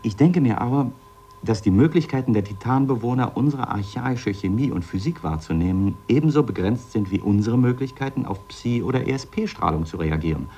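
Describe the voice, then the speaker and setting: Male scientist figure, played by an actor, 1970s radio drama, soft dark tone, professorial, insistent, explanatory, faint noise behind. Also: mid-range voice, expressive, a little fast, natural voice. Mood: earnest lecturing. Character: eager male scientist explaining bold speculative theories.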